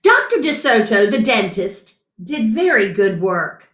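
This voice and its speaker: Middle-aged female children's storyteller, higher-pitched, very lively delivery. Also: unhurried. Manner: animated, chatting to an unseen guest between lines. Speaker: children's story time reader. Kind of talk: expressive picture-book read-aloud. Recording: roomy echo.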